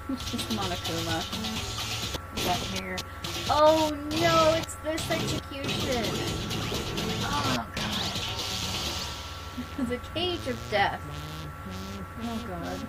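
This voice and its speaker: High-voiced, expressive woman game streamer. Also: very slow, pauses often, poor audio. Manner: expressive, exclamatory, bantering. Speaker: livestreamer playing a game. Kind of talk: casual reactive game commentary. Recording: loud game music behind.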